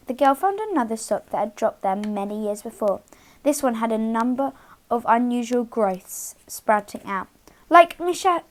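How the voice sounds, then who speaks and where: A woman hosting storytime, speaking to young children in an expressive read-aloud style with dramatic emphasis on key words. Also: fairly high pitch. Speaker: children's storytime host and reader.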